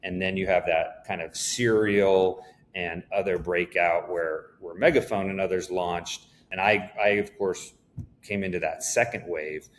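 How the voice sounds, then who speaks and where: A man speaking as an executive guest in a conversational business interview, explaining things in a measured way. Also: deep voice, steady tone, slight background noise.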